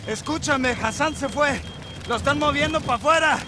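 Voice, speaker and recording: An actor with a Mexican accent playing a loyal special forces second-in-command in a game, reporting tersely and urgently. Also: male voice, very high-pitched, fast. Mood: strained, urgent. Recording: noisy vehicle and action ambience.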